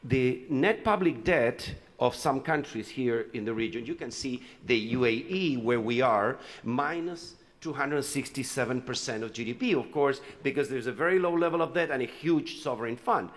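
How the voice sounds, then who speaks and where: A man's voice: mid-range voice, expressive, normal speed, hardly any pauses, long reverb tail. A man from Chile speaking on a conference panel, loose and conversational, with many fillers and restarts as he talks through his slides.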